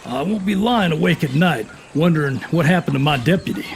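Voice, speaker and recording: An actor playing a firm, fair and courteous Western sheriff, speaking in a measured, plain-spoken American drawl. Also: male voice, a little high, expressive, creaky, slight background noise. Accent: American, Southern or Western drawl. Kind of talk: Western audio drama acting.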